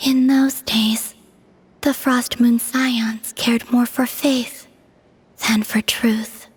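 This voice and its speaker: A woman voicing a serene, melancholy young moon goddess, breathy and whispery, speaking softly and poetically in a video game dub. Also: on the high side, flat delivery, slight background noise. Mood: wistful. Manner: soft, slow and poetic.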